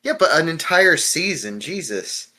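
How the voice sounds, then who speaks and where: A man with a slightly high, sharp and forceful voice banters casually with friends. He is blunt and profane, needling whoever is talking. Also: big pitch swings, unhurried.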